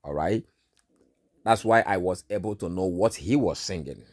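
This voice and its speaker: Nigerian man, Igbo native speaker, low voice, slow talk, informal sermon, lots of "you know", dry close sound. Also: a little mellow, no echo. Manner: frequent fillers, repeats "all right" and "you know". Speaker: preacher sharing a dream.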